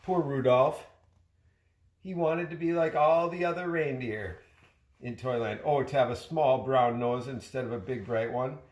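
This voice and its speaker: Dad reading a storybook in an echoey room. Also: male voice, medium pitch. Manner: expressive, pausing to chat with the child. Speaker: father reading a bedtime-style story to a child. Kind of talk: children's storybook read aloud. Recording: roomy, echoey home recording.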